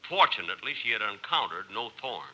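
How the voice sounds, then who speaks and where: An earnest adventurer narrates his jungle tale in a very bright, forceful voice with lively swings. It is 1950s radio comedy narration. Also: lowish voice, fast, breathy edge, full character voice, rough recording. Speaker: storyteller. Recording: old radio recording, faint noise.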